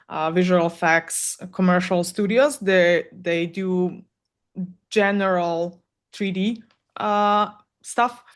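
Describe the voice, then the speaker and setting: Woman coaching, thinking aloud. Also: mid-range voice. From Serbia. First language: Serbian. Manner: candid, thinks aloud with frequent fillers. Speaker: animation content coach. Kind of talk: solo Q&A advice talk.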